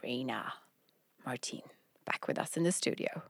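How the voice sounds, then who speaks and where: A woman co-hosting a podcast in playful casual chat, her voice husky from a cold. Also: extremely low pitch, normal speed, dry recording, whispered, clean studio sound. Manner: playful, chatty. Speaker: podcast co-host.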